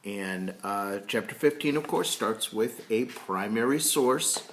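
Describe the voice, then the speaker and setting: A man reading a historical novel aloud as a storyteller, deliberate and explanatory. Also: fairly low pitch, animated, fairly bright tone, a little hiss or hum. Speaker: reader reading a book chapter aloud.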